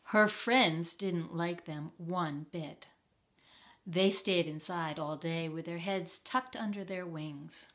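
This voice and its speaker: An older woman reads a picture book aloud for children. Her voice is fairly low and very unhurried, and she speaks deliberately, putting simple questions to young listeners. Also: a bit bright. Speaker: library storytime reader.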